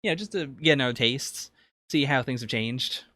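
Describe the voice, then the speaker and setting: A man's voice: mid-range voice, normal speed, bright, forceful tone, no echo. Guy on a podcast talking through a review, kinda hesitant and rambly, very dry sound. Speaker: podcast co-host. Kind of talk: casual review discussion.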